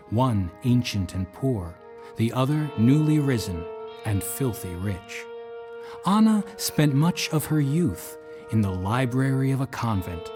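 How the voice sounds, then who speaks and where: A man playing a formal manservant and aide who narrates an audio drama in a measured storytelling way. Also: mid-range voice, dark tone, close to an everyday voice. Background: music.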